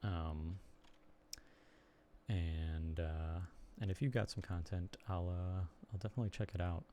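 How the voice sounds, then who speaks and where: A young man with a Chicago accent, chatting casually and haltingly to viewers on a live stream from his computer desk. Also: sits low, steady tone, dark tone, slight background noise. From Chicago area, United States. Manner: rambling, halting, lots of ums and restarts. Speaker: streamer and blogger.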